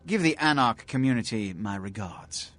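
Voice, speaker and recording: A man's voice: medium pitch, animated, normal speed, a bit bright, natural voice. An actor playing a haughty male vampire prince in a video game, with a pompous, condescending, elaborately worded delivery. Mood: disdainful. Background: music.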